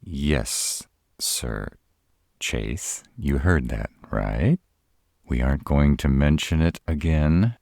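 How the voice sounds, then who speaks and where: A man's voice: extremely low pitch, expressive, slow pace, gravelly, darkish tone, dry recording, clean studio sound, heavily acted. A man playing a nervous, sarcastic male vampire in a roleplay audio, stammering and hesitant, easily exasperated.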